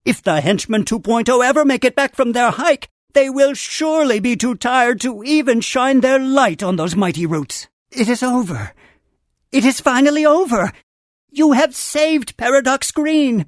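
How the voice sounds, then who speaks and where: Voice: medium pitch, dry recording, clean studio sound, lightly acted. An actor voicing a wise, earnest male creature mentor in a video game, with a formal, theatrical storytelling delivery. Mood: earnest, triumphant, then urgent, excited. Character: wise male Funkey mentor, grand, earnest tree guardian. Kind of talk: scripted video game character dialogue.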